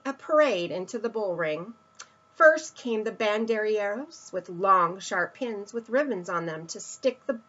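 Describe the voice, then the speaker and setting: A woman reads a children's story aloud in a fairly high voice. She takes her time, stressing words expressively as if to young listeners. The recording is slightly dull and lo-fi. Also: a bit bright. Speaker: storyteller reading a picture book to children.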